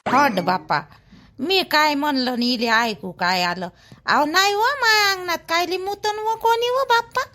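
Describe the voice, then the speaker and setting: A woman's voice: high-pitched, lively intonation, a little slow, pushed and bright, full character voice. A woman voicing a chatty, nosy but helpful older village housewife in a cartoon, speaking colloquial Vidarbha Marathi full of exclamations. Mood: curious, questioning. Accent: Varhadi Marathi, Vidarbha. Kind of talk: rural family cartoon dialogue.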